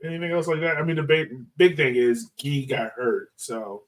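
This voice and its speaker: A man hosting a casual soccer podcast, talking in a halting, hesitant way. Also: fairly high pitch, distant mic. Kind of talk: casual sports chat.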